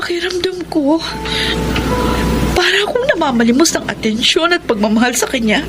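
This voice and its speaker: A woman's voice: sits high, expressive, normal speed. A woman acting a timid, neglected young wife in a Filipino radio drama, hesitant and emotional. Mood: sad, tearful. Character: unhappy neglected young wife, sales lady, torn and timid. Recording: music playing under the voice.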